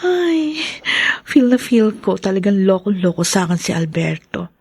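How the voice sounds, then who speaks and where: A woman's voice: mid-range voice, sing-song, measured pace, warm tone, husky, no echo, heavily acted. A young woman voicing a sly, wheedling mistress who schemes for money in a radio drama. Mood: gloating. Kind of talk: Filipino radio drama acting.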